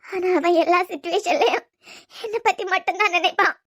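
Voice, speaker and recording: An actress playing a heartbroken young woman in a TV serial, crying and speaking in soft, broken phrases. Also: very high voice, a little fast. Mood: tearful. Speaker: heroine in a TV serial. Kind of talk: TV serial acting.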